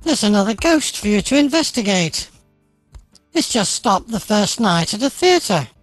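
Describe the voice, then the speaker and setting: Woman playing an offhand wife, lively pitch. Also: unhurried, faint music behind. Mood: informing. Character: ghost hunter's wife, matter-of-fact, dismissive. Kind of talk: audio play dialogue.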